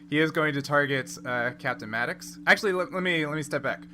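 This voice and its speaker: A man's voice: on the high side, a little fast, sharp tone, slight background noise. A man running a tabletop role-playing game, narrating and explaining rules off the cuff. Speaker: game master.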